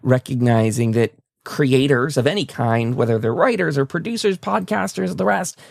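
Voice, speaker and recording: A man with a mid-pitched voice and a gravelly edge hosts a casual interview. He is enthusiastic and generous toward his guest, and the sound is close and dry. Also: moderate pace, no room sound. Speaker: talk show host interviewing a guest.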